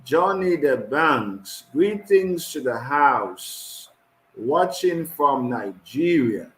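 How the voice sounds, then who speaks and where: Man, host, reads out viewer greetings, stumbles over names, fairly high voice, roomy echo. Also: lively intonation, fairly bright tone. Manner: reads names haltingly with frequent laughs. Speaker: host of an online community call. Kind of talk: reading out chat greetings.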